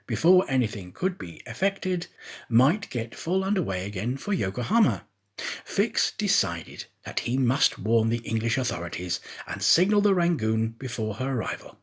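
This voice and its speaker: Male audiobook narrator, expressive and dramatic, lively intonation, mid-pitched with some huskiness. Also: breathy edge. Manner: theatrical, expressive. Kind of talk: dramatic scripted storybook narration.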